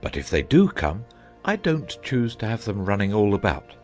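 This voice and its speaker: A man's voice: on the low side, very animated, normal speed, soft background music. Man acting an earnest, bumbling knight, wildly swooping. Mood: earnest, fussy. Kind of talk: dramatized audiobook character acting.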